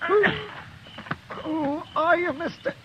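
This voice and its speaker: High-pitched, whining, cowardly outlaw. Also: male voice, takes it slowly. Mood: fearful whining. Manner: whining, frantic, pleading. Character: cowardly weasel-faced outlaw, pleading for his life. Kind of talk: 1950s radio western acting. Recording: noisy, lo-fi old radio broadcast.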